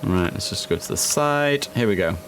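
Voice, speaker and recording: Relaxed, low-voiced man. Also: moderate pace, a little hiss or hum. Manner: chatty, relaxed, with frequent fillers. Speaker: gaming streamer. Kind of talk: casual gameplay commentary.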